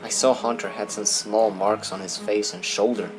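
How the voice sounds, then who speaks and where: A man's voice: lowish voice, fairly even intonation, normal speed, loud music behind the voice, lightly acted. A man playing an earnest eyewitness on the stand in an amateur audio drama. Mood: matter-of-fact. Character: earnest male eyewitness giving testimony.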